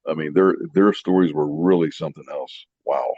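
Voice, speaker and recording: Low-pitched older man, dull lo-fi sound. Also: moderate pace, no echo. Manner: enthusiastic, agreeable, repeats himself. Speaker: podcast co-host. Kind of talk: casual chat.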